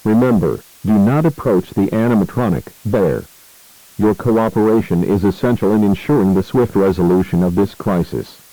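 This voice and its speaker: A man reading a scripted emergency broadcast as an announcer, in a formal, grave and measured manner. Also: lowish voice, mellow, no echo, rough recording. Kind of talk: scripted emergency alert narration.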